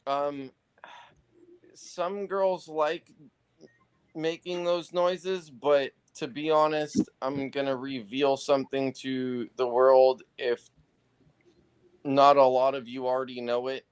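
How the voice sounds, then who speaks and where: Slow, smooth-voiced laid-back man. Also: medium pitch, flat delivery, low-quality recording. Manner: laid-back, halting with fillers. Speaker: guest on a live talk show. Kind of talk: casual chat.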